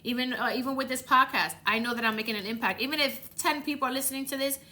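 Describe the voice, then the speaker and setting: A woman's voice: high voice, fast talker, bright, forceful tone. A woman from the Dominican Republic with a Dominican accent, hosting a motivational podcast and coaching listeners earnestly, often repeating words for emphasis. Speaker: podcast host.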